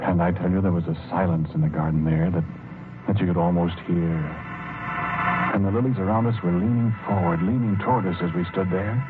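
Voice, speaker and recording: A low-voiced male actor as a gentle, obsessive husband in a vintage radio play. He speaks earnestly and haltingly, repeating himself as he confesses his devotion. The old recording is thin and narrow, with music clearly behind him. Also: steady tone, natural voice. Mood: hushed, sorrowful. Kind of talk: 1940s radio drama acting.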